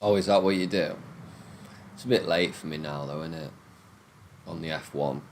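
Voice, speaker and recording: A man with a Nottingham accent chatting live with viewers, swearing and ranting in a casual, animated way. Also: very deep voice, normal speed, slight background noise. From Nottingham, England. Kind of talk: casual live-stream chat.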